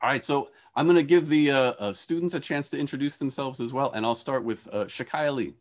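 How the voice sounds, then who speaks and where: A man's voice: medium pitch, a little fast. A man in his forties hosts an online panel with a mid-range voice. He phrases things hesitantly and repeats words as he introduces speakers. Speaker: host and moderator, professor. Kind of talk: hosting a panel introduction on a video call. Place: indoor room with shelves, video call.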